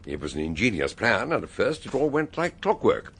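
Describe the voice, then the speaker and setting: A shrewd, blunt police chief in a radio play. He speaks low and gravelly, with hugely varied intonation and a brusque, knowing authority. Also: big pitch swings, brisk, a bit bright, heavily acted. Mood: explaining. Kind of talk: radio drama acting.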